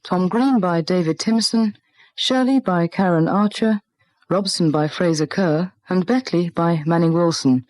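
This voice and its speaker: A woman's voice: fairly low pitch, measured pace, a little mellow, dry recording, low-quality recording. A British woman radio announcer formally reading scripted closing credits.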